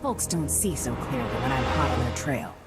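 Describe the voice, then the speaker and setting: An actress playing a tough, cocky, cold-blooded bounty hunter in a video game, with a blunt, terse, swaggering delivery. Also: female voice, a bass voice, expressive, moderate pace, over loud music. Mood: cocky, defiant. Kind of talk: scripted video game acting. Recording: reverberant hall, faint noise.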